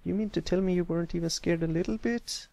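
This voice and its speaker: Man putting on a brash, high-pitched tomboy filly. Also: steady tone, quick pace, gravelly. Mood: indignant. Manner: loud, defensive, bragging. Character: brash, boastful young tomboy pony filly, easily annoyed.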